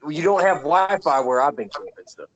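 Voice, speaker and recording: A man's voice: a little high, sing-song, a little fast, soft background music, low-quality recording. A man in casual podcast banter, grumbling and swearing as he disagrees. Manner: grumbling, profane, contrary. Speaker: podcast co-host.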